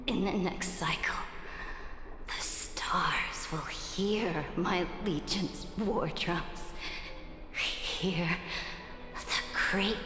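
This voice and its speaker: An actress playing a proud, imperious female emperor in a game cutscene, speaking in a solemn, deliberate, regal manner. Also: lowish voice. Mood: dying, grand. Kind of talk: dramatic game cutscene acting. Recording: echoey hall reverb, music behind.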